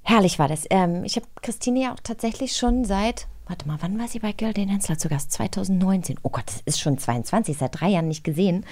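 A woman's voice: mid-range voice, expressive, a little fast, few pauses, darkish tone. A woman co-hosting a podcast in casual chat, enthusiastic and informal, sprinkling in English phrases. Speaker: podcast co-host.

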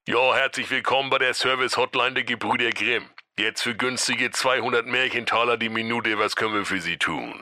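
A man playing a breezy, unbothered fairytale hotline agent with chatty, deadpan bureaucratic patter in a comic audio story. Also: mid-range voice, a little fast, few pauses, no room sound, clean studio sound. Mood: smooth, salesy.

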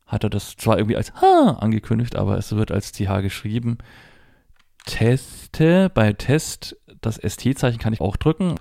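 A man's voice: fairly low pitch, big pitch swings, moderate pace, gravelly, dark tone, dry recording, clean studio sound. A middle-aged man giving a conversational, step-by-step tech tutorial, explaining and spelling things out as he demonstrates a device. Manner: spells out letters and dot numbers step by step. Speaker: presenter of a tech tutorial for Braille users.

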